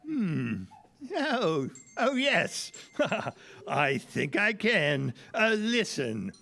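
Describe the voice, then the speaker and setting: A man's voice: high-pitched, sing-song, very slow, gravelly, sharp tone, heavily acted. A man playing a fussy, exasperated male fairy godparent in a children's audio play, huffy and theatrical. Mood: pleased.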